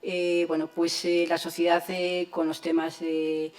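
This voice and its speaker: Low, monotone woman panelist. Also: measured pace. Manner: hesitant, with many fillers. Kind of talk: academic panel discussion. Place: university lecture hall.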